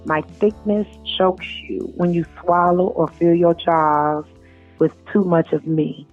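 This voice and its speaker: A woman's voice: fairly low pitch, steady tone, takes it slowly, no room sound. A woman author speaking informally as a guest, recorded in dull lo-fi audio with music behind her. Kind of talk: reading aloud and informal interview talk.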